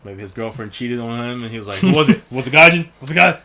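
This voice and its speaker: A man's voice: mid-range voice, expressive, quick pace, bright, forceful tone. A man co-hosting a podcast in casual chat, blunt and sweary, often mimicking other people as he talks. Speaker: podcast co-host.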